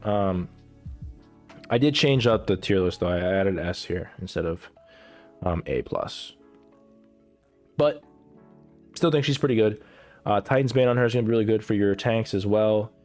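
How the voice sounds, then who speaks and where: A man with a lowish voice talks through a casual, rambling commentary, cursing freely and stumbling through restarts and fillers. He is coming down with a cold and coughs now and then. Soft music plays faintly behind him. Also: lively intonation, normal speed, pauses often. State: getting sick, coughing. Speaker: gaming content creator ranking characters.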